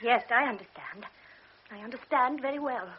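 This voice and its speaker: A woman's voice: on the high side, expressive, normal speed, lightly acted. An actress as a proud, bitter young woman. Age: young adult. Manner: sharp, wounded, swings to shouting. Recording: dull radio drama recording with faint hiss.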